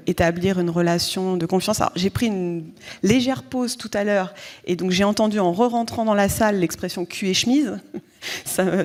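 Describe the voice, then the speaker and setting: A woman, a local elected official, giving round-table remarks in a halting way with repeated words. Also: lowish voice, darkish tone.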